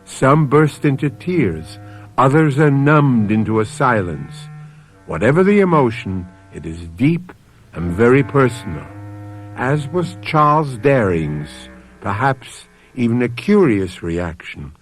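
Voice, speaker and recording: A man's voice: medium pitch, very animated, takes it slowly, soft background music. A man hosting a radio mystery drama, narrating in a solemn, theatrical, rhetorical manner. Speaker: host and narrator.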